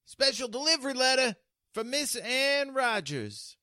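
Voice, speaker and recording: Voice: sits high, sing-song, measured pace, pushed and bright, no echo, studio quality, exaggerated voice. A performer playing a flirtatious, cocky male delivery messenger in a 1940s-style radio drama, teasing and smarmy. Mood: announcing.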